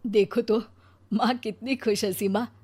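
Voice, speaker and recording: A woman's voice: on the high side, fairly even intonation, a little slow, mellow, breathy edge. A woman playing a devoted, protective adult son and husband in a family story, with a casual, familiar manner. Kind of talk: acted Hindi family moral story.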